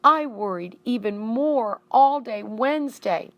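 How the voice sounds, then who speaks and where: A woman voicing an earnest, excitable young male pet hamster in a children's story, dramatic and emphatic. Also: fairly high pitch, takes it slowly, dry recording, dull audio, pitched above the performer's own voice. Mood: worried. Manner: dramatic, emphatic, repeating words for effect.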